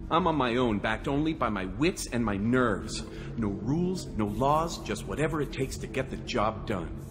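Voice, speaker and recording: A man's voice: medium pitch, expressive, normal speed, over music, lightly acted. A man playing a deluded, boastful wannabe space hero in a video game, full of self-important bluster that slips into defensive pleading. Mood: defensive, earnest. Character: delusional, boastful wannabe male space hero, eager fanboy.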